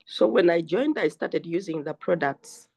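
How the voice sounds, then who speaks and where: An older woman with a Ugandan English accent, slowly telling her own story as guest speaker on a group call. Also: lowish voice, lively intonation, vocal fry, darkish tone, breathy edge. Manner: slow, earnest storytelling. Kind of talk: personal testimony on a group call.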